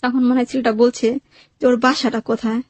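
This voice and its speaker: A woman's voice: a little high, level tone, brisk, darkish tone, no echo. A woman with a Bangladeshi accent recounts her own life as an interview guest, in plain colloquial speech, quoting dialogue as she goes. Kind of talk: personal storytelling, recounting with quoted dialogue.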